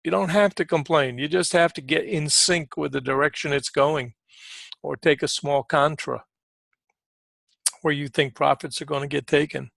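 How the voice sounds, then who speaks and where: A man with a fry-laden, fairly high voice coaches traders in loose, conversational live commentary. He repeats short phrases for emphasis and clears his throat often. The sound is close and dry. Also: steady tone, moderate pace, a bit bright, no room sound. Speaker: trading coach giving market commentary. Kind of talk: informal live market commentary.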